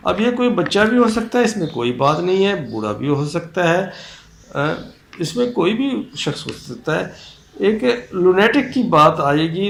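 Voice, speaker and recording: Male legal lecturer, Pakistani accent, gravelly and emphatic, teaching style; echoey room recording with slight background noise. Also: a little high. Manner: didactic, emphatic, repeats points. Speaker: law lecturer. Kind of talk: explanatory lecture mixing in English legal terms.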